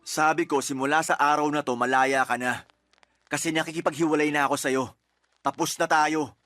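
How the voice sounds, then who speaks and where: A fed-up, bitter boyfriend. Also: male voice, fairly high pitch, fairly even intonation, fairly quick, sharp tone, faint music behind. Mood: cold, final. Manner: complaining, accusing, bitter. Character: fed-up young boyfriend, resentful and blunt. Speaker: boyfriend in a radio drama. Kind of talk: radio drama acting.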